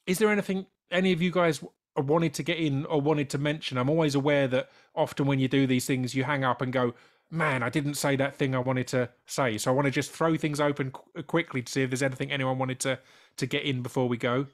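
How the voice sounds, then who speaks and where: A British man hosting an online panel in a relaxed, chatty way. A light stammer catches on some words, and his voice sits at an ordinary mid pitch. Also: fairly quick. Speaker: host.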